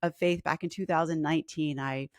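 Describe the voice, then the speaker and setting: A woman in her forties, a fitness coach, talking casually on a live stream with a hoarse, scratchy voice and lots of ums. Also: low voice, steady tone, brisk, no echo, studio quality. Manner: chatty and earnest, with filler ums and restarts. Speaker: fitness coach and podcast host.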